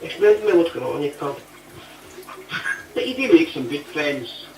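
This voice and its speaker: A man's voice: on the high side, lively intonation, normal speed, creaky. A British man in casual, blunt, sweary banter as a user of a chat app. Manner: blunt, foul-mouthed. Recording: echoey, distant playback with faint noise.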